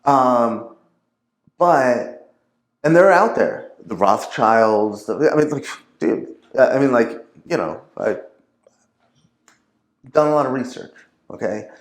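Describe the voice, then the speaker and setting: A man's voice: mid-range voice, animated, slow pace, long pauses. A man speaking as a guest in a casual conversation, rambling and leaning heavily on fillers and restarts. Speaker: podcast guest. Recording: roomy echo.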